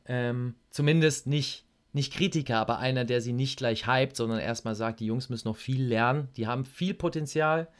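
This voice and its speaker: A man's voice: mid-range voice, moderate pace, few pauses. A man co-hosting a wrestling podcast, giving critical opinions in casual chat with hesitant fillers.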